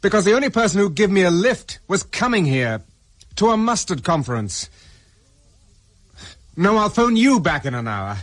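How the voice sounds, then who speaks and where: A man's voice: sits high, very animated, moderate pace, long pauses. An actor playing a wary, defiant man on the run, clipped and distrustful, in a radio spy drama. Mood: angry, distrustful.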